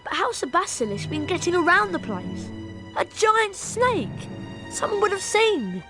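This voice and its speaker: Nervy boy sidekick, very high animated voice, blurting, video game, music behind. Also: unhurried, full character voice. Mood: puzzled. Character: anxious, sceptical schoolboy sidekick wizard, about twelve.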